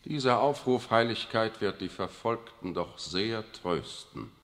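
An actor playing a servile cardinal in a 1960s radio drama, fawning toward the pope and scolding a priest. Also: male voice, on the low side, lively intonation, measured pace, fairly bright tone. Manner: fawning, then sharply scolding.

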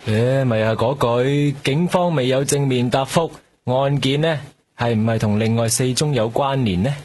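An actor in a radio drama playing a brisk, wry young policeman, casual and colloquial, with a Hong Kong accent. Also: medium pitch, a little mellow, no room sound, lightly acted.

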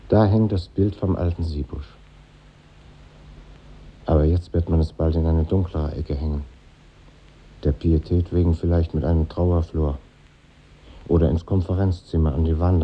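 An actor playing a reflective middle-aged conductor revisiting his old school, husky and musing, in a 1950s German radio drama. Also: rumbling low, steady tone, dull audio. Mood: musing, nostalgic. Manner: musing, pausing, nostalgic interior monologue.

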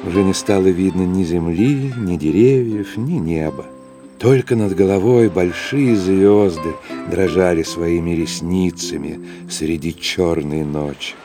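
An actor narrating a classic literary tale in an audio drama in a measured, lyrical manner. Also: male voice, sits low. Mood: calm, lyrical. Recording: guitar music behind narration.

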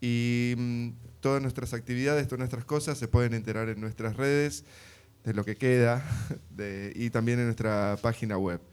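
Argentine guy hosting a live radio chat, smooth clear voice, medium pitch. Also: steady tone, normal speed, darkish tone. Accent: Argentine Spanish. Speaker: radio host, interviewer.

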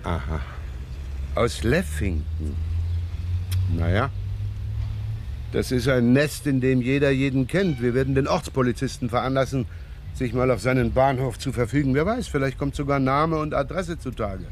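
A man's voice: medium pitch, moderate pace, lightly acted. A man acts a rumpled police inspector in a 1970s radio crime play. He is wry and talkative, circling back on his words as he probes. The recording carries slight noise. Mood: wry, rambling. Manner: repetitive, probing, wry and rambling. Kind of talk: 1970s German radio crime drama acting.

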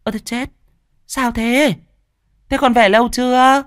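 A flustered, falsely caring middle-aged stepmother, played by a man in an audio horror tale. The pitch is pushed well up, and the speech stammers and repeats as if covering something up. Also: steady tone, unhurried, fairly bright tone, smooth, dry recording, studio quality. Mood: startled.

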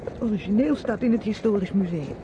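An actress playing a sensible, exasperated younger niece, speaking tersely and impatiently in radio drama style. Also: female voice, medium pitch, brisk, muffled recording, natural voice. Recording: faintly noisy old radio recording.

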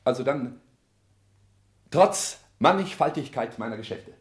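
An actor playing an ambitious bourgeois social climber in a 1960s German radio drama, solemn and fawning, with formal, oratorical delivery. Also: male voice, medium pitch, lively intonation, unhurried, lightly acted. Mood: courteous.

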